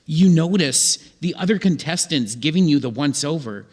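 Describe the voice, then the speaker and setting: A man narrating a scripted comic story on stage in an old-time radio show, deliberately and with dramatic pauses. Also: on the high side, animated. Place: live theatre stage. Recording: theatre hall reverb, faint noise.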